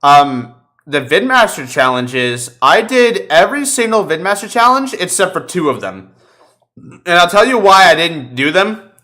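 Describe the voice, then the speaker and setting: A man with a fairly high, bright and forceful voice hosts a casual gaming chat. He tells stories in an animated way and swears freely as he goes. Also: a little slow. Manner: profane, animated storytelling. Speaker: podcast host. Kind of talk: casual chat about video games.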